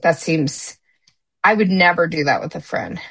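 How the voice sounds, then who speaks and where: A woman's voice: low voice, normal speed, fairly bright tone, breathy edge, no echo. A middle-aged woman speaking bluntly and emphatically in a candid podcast chat, often restarting her sentences.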